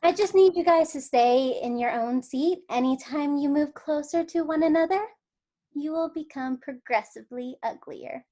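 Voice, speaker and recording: Woman doing a bossy alien examiner lady, high voice, dry n blunt, kinda scornful of humans. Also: normal speed, warm tone, close to an everyday voice. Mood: brisk, official. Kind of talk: script table read.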